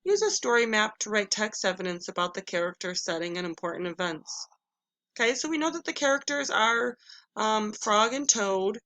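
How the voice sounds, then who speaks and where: A woman's voice: medium pitch, normal speed, sharp tone. A woman teacher giving a read-aloud lesson for children, moving between story narration and instruction. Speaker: elementary school teacher.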